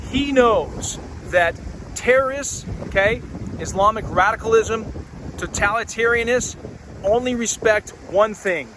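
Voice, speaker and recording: Man, high voice, emphatic indignant commentary, faint noise behind. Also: a little slow. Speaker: host. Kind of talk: political commentary.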